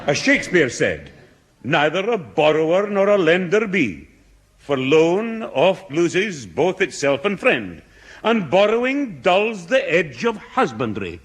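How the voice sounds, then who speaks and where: A fed-up old scottish grandpa, preachy, husky highish voice that jumps about, 60s radio comedy. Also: male voice, very animated, measured pace, exaggerated voice. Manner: preachy, indignant. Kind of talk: 1960s radio sitcom acting. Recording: 1960s radio comedy broadcast.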